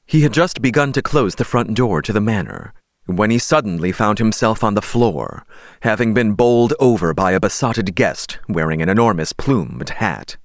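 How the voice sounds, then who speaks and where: Low-voiced male audiobook narrator, dry sound. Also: lively intonation, normal speed, no room sound. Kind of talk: scripted fantasy story narration.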